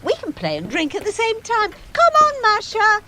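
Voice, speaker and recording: A theatrical, fluttery mother in an audio drama. Her voice sits very high and swoops with lively intonation. Loud noise runs through the recording. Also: moderate pace, exaggerated voice. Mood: commanding, sociable. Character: theatrical, nervy mother, a bossy hostess.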